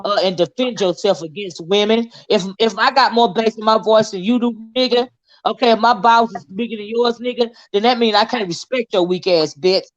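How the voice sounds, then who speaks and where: A middle-aged woman holds forth on a livestream, blunt and profane, hammering each point with curses. Her voice sits at a middling pitch. The audio is dull and lo-fi. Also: a little fast. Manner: profane, blunt and combative, emphatic cursing. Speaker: livestream host. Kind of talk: heated livestream commentary.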